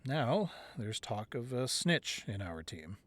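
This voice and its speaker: A man playing a weary but devoted, protective crime boss boyfriend in an intimate ASMR roleplay, speaking softly and close, at times breathy. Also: mid-range voice, very animated, takes it slowly. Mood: grave, troubled. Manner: soft, intimate, drifting into breathy whispers.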